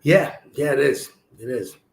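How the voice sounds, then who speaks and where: A middle-aged man from Philadelphia talks informally as an interview guest. His medium-pitched voice is slightly hoarse, and the room echoes around him on the video call. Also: a little slow, noisy background. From Philadelphia, USA. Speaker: interview guest, political candidate. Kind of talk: casual interview chat. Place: room at home, indoors. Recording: roomy echo on a video call.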